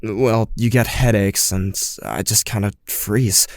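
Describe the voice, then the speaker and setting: A man's voice: fairly low pitch, steady tone, takes it slowly, no room sound, studio quality. A man playing an anxious, skeptical teenage boy with psychic powers in an audio drama, with a hesitant, wry delivery. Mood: hesitant, confiding. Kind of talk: audio drama dialogue and first-person narration.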